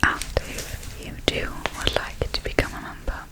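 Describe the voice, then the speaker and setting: A woman speaking gently in a breathy whisper as a soft-spoken ASMR creator addressing viewers. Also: normal speed.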